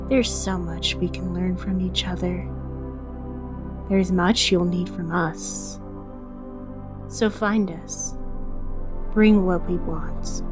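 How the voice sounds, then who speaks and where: A woman acting as a serene, faintly sinister female announcer speaks very slowly in a lowish voice. Her delivery is measured and cryptic, like a mock advertisement in a radio drama, over ambient new-age music.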